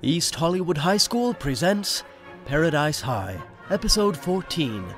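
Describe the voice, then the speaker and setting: Lively male radio play narrator over music. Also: fairly high pitch, a little slow.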